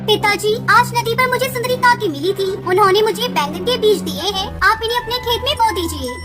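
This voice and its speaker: A woman as a squeaky-high young girl bird. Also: brisk, over loud music. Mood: earnest, polite. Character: young female bird, dutiful, sweet and tearful. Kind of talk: Hindi children's moral-story cartoon dialogue.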